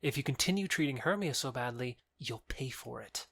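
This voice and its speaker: A man's voice: mid-range voice, expressive, a little fast, creaky, a bit bright, no room sound, studio quality. A man playing a proud young rival in love, sneering and challenging, in a modernized Shakespeare audio drama. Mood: warning.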